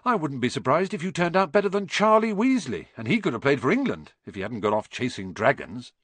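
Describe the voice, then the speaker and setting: A man's voice: medium pitch, sing-song, a little fast, fairly bright tone, breathy edge, dry recording. A man voicing an enthusiastic older schoolboy who captains a sports team. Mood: happy.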